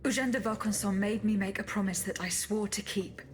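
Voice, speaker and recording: A woman voicing a composed, resolute female automaton bodyguard in a video game, measured and formal. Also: medium pitch, natural voice. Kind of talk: dramatic video game acting. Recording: music behind the voice.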